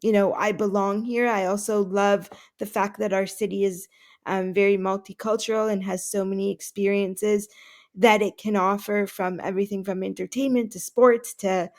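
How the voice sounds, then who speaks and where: A woman's voice: mid-range voice, fairly even intonation, normal speed, a little mellow. A woman whose first language is Russian, answering podcast interview questions earnestly, with many fillers and restarts. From Canada. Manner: earnest, with frequent fillers and self-corrections.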